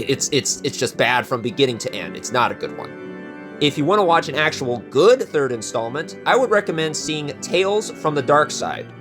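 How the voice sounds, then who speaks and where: A man presenting a casual video introduction in a chatty, opinionated way. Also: mid-range voice.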